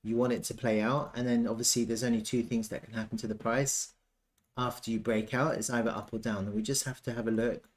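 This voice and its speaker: A man with a mid-range voice talks through analysis in an unscripted, casual way. He works out his thoughts as he speaks, often halting and circling back over his own words, like an analyst commenting live on a chart. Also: medium pitch, level tone. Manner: thinking aloud, halting, repeats himself.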